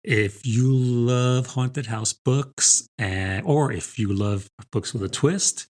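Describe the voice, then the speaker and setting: A man's voice: mid-range voice, slow, darkish tone. A man co-hosting a book podcast, talking in an informal, conversational way in a casual review chat. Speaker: podcast co-host discussing books.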